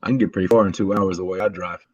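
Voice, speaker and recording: A man hosting a casual livestream, chatting easily with a guest and reading out chat messages, with a slow, relaxed delivery full of fillers. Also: low-pitched, no echo, muffled recording. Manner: laid-back, drawling, many "uh" and "um" fillers. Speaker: livestream host.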